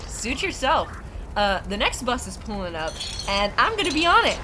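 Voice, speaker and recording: Woman as an exasperated, high-voiced sarcastic evacuee. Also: sing-song, moderate pace. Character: impatient, sarcastic woman fleeing the city. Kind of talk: sci-fi audio drama acting. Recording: clear ambient background noise.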